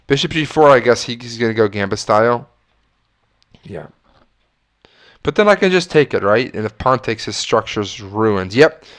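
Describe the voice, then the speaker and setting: A man with a stuffy nose and a slight leftover cough talks himself through chess positions, weighing moves and catching his own slips. His voice sits at an ordinary middle pitch and comes through smooth and clear. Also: animated, moderate pace, long pauses, a bit bright. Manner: musing, self-correcting, talks through moves. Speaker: chess streamer. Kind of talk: thinking aloud while playing chess.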